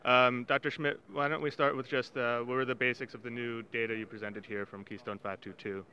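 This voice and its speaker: A man's voice: medium pitch, flat delivery, a little fast, bright, forceful tone, dull audio. A man in his thirties interviewing a doctor at a conference, asking friendly questions full of "um"s into a handheld mic. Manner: friendly, with filler-heavy questions. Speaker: interviewer for a patient organization. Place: conference hall studio set, indoors. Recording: faint background noise.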